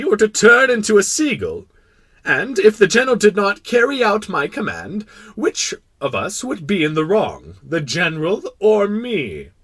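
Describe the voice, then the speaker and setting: A man voicing a proud, kindly but pompous king in a storybook, making imperious declarations with drawn-out words. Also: high-pitched, pushed and bright, slightly rough, dry recording, pitched above the performer's own voice, full character voice. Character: proud, kindly, pompous absolute monarch of a tiny planet.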